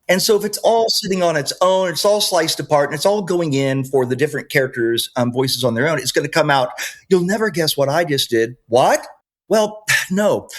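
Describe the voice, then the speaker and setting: A man speaking as a podcast guest, explaining his product in an enthusiastic, conversational way. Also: a little high. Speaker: podcast guest, software maker.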